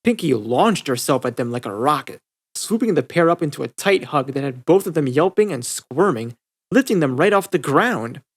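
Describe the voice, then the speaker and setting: A man's voice: a little high, animated, moderate pace. A man reading scripted fiction aloud as an audiobook narrator.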